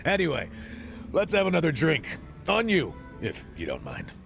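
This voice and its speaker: An American man acting a gruff, regretful, middle-aged ex-cop in a video game cutscene, blunt and streetwise with a gravelly voice. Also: fairly high pitch, huge pitch swings, normal speed, a bit bright, soft background music, exaggerated voice. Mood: wry, loosened up.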